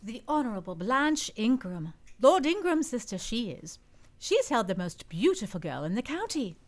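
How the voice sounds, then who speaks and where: A woman's voice: a little high, sing-song, normal speed. A woman playing a kindly, gossipy older housekeeper in a radio play, genteel and motherly.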